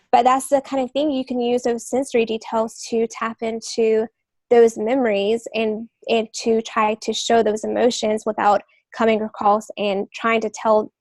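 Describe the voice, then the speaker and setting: A woman's voice: on the high side, creaky, a little mellow, dry recording. A woman co-hosting a podcast, giving writing advice in an informal, explanatory, conversational way. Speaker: podcast co-host, novelist.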